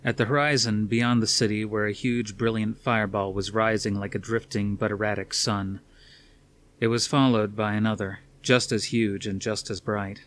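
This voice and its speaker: A man's voice: fairly low pitch, little pitch movement, normal speed, a bit bright. A man reading a dramatic story as scripted audiobook narration.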